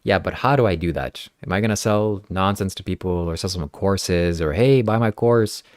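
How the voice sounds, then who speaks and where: A man's voice: low-pitched, normal speed, darkish tone, dry recording, studio quality. A man whose first language is Ukrainian, hosting a casual podcast and earnestly reading viewer comments aloud. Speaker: podcast host. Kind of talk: casual livestream podcast chat.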